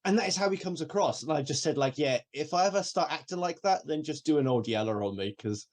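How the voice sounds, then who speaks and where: A man's voice: a little high, fast talker. A Welsh-born man who speaks with an English accent, co-hosting a podcast in casual, laughing chat. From Wales. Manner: animated, laughs often, swears casually. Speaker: podcast co-host.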